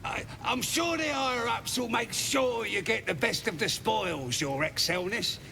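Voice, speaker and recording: A man playing a nervous goblin underling in a video game, fawning and stammering with rough grammar, in a very reverberant space. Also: sits high, lively intonation, a little slow, sharp tone, exaggerated voice. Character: small, grovelling goblin underling, nervous and eager to please. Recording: long hall reverb, faint ambient noise.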